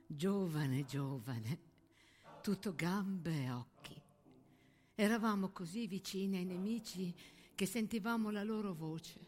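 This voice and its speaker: An actress playing a haunted young soldier of the First World War, recounting horrors in an intense, intimate theatrical monologue with dramatic pauses. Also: female voice, on the low side, natural voice. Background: accordion music.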